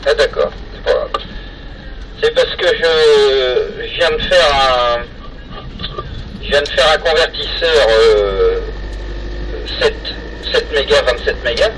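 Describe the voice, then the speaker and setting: A middle-aged man with an accent from France, chatting casually and haltingly over a two-way radio. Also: medium pitch, a bit bright. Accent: French, from France. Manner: hesitant, halting. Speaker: amateur radio hobbyist. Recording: distant, very noisy FM radio contact.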